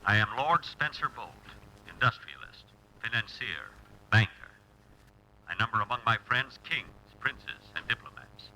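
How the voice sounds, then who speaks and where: A man's voice: on the low side, big pitch swings, pushed and bright, full character voice. An actor playing a pompous, boastful English lord who grandly narrates his life in a vintage radio comedy sketch. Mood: grandly self-important. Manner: grandiose, deliberate. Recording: noisy old radio broadcast with hum.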